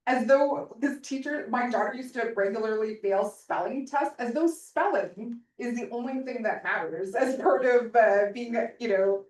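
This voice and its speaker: A woman's voice: on the high side, normal speed, few pauses. A middle-aged woman panelist answering audience questions in a chatty, self-interrupting way with frequent laughs. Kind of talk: panel discussion Q&A. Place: conference hall. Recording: roomy, distant room mic.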